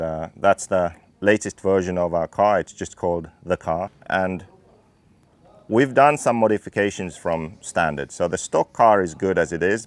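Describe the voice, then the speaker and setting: A man in his thirties from Finland, a racing team owner calmly explaining his car in technical detail. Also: deep voice. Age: 30s. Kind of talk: interview talk about his car.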